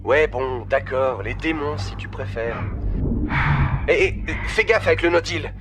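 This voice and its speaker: A man's voice: a little high, measured pace, a bit bright. A man as a wry, grumbling salvage crewman. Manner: informal, sardonic. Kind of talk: French sci-fi audio drama acting.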